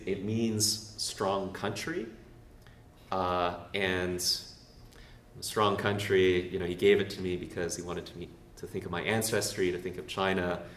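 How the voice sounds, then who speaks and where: A young man with a notably low voice gives a relaxed talk in a hall, laughing at himself and filling pauses with "um" and "uh." He stands well back from the microphone, so his words ring in the roomy space. A faint hum sits under his speech. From Canada. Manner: casual and self-deprecating, with many fillers. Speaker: presenter. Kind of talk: church talk with slides. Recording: roomy, far from the mic, faint noise.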